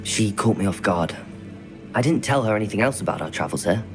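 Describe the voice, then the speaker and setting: An actor playing an earnest young wizard student in a video game, polite, careful and formal in speech. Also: male voice, lowish voice, lively intonation, a little fast. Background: background music.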